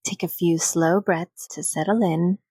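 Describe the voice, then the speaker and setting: Very slow, soothing woman guiding meditation. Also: lowish voice, steady tone, slightly rough, no echo. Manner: gentle, unhurried, soothing, with long pauses. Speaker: meditation guide. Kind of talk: guided meditation, soothing scripted narration.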